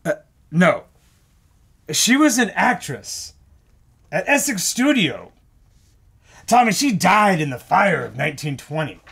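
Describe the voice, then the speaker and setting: Radio drama station boss, male, actor-played: world-weary yet avuncular, fairly high sharp voice, halting wry delivery. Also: very animated, creaky, full character voice. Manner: wry, hesitant pauses, calls people kid. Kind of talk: old-time radio drama acting, live on stage.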